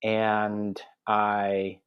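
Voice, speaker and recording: A man's voice: on the low side, completely flat delivery, drawn-out words, clean tone, narrow, tinny audio. A middle-aged man from Guatemala, a theology professor, telling his story in a thoughtful, articulate way as an interview guest. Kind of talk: casual interview.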